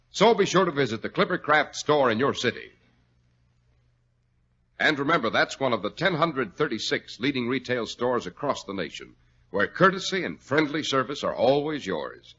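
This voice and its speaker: A man's voice: mid-range voice, pushed and bright, breathy edge. A male radio announcer delivering a polished, persuasive scripted sales message in 1940s style. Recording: dull old radio recording.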